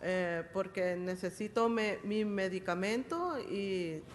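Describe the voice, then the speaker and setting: A housekeeper speaks hesitantly, leaning on fillers between short phrases. Her voice sits at a middle pitch. The chamber's long reverb and a faint room hum surround her. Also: lively intonation, measured pace. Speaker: hotel housekeeper giving public comment. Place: large council chamber.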